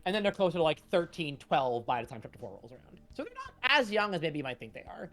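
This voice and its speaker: A woman's voice: deep voice, huge pitch swings, quick pace, a bit bright. A woman talking casually as a comic creator hosting a read-along stream.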